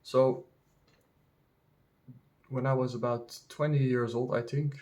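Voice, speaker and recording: Dutch native speaker, man, 30s, vlogger; slow, halting, lots of ums and restarts, mid pitch. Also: flat delivery, darkish tone. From Netherlands. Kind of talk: casual unscripted monologue.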